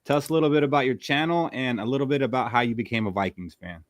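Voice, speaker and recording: A man's voice: mid-range voice, fast talker. A man hosting a casual live podcast, asking his guest easy, open questions. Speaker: podcast host.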